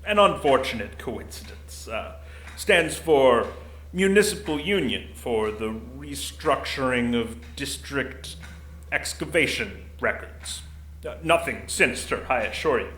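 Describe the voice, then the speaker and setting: A man playing a gruff, middle-aged, desk-bound security commander in an audio drama, blunt and dryly bureaucratic. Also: mid-range voice, expressive, unhurried, a bit bright. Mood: stiffly polite. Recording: roomy echo, faint noise.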